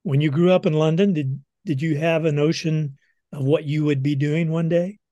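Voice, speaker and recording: A man's voice: on the high side, fairly even intonation, normal speed, warm tone, no echo, dull audio. A man from Southern Illinois with an American accent, hosting and asking questions in a conversational interview style. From Southern Illinois, United States. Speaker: interviewer, host.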